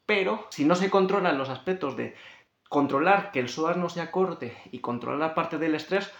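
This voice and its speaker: This is a man with a European Spanish accent and a fairly high, noticeably creaky voice. He explains things informally, like a coach instructing listeners, running his sentences on and circling back to reminders. The room around him is clearly echoey. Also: fairly bright tone. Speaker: fitness coach presenting a training guide. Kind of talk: informal explanatory talk to camera.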